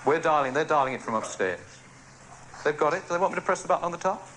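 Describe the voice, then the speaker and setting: A man's voice: on the high side, lively intonation, quick pace, a crowd in the background. A man presenting on radio, ad-libbing in a wryly flustered, joking way. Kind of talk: unscripted ad-libbed filling on air. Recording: noisy archive radio tape.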